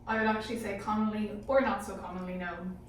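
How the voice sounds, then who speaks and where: A woman's voice: medium pitch, steady tone, fast talker, a little hiss or hum. A woman giving her thoughts casually in a team discussion. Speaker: panel member. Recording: echoey room, distant mic.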